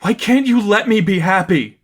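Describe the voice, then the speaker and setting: Young female vigilante, read by a man, intense, terse, devout, higher register, for a dramatized superhero story. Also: moderate pace. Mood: wounded, seething. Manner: terse, clipped short phrases. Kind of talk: dramatized fan fiction reading.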